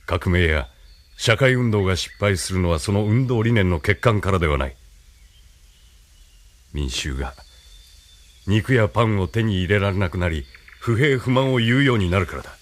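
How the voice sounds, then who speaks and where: An actor playing a calm, imperious military leader in an audio drama, with a measured, condescending, lecturing manner. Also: male voice, on the low side, natural voice. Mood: lecturing, assured. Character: calm, imperious male military leader, idealist turned cynical schemer. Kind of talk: anime-style audio drama acting.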